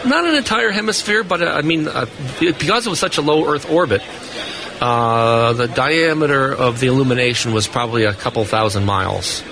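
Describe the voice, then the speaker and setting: A man's voice: medium pitch, a little fast, vocal fry. A man giving detailed, technical explanations and stories as a guest in a live radio interview. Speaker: guest, amateur radio expert. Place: busy indoor convention hall booth. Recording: busy convention floor crowd noise. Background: crowd chatter.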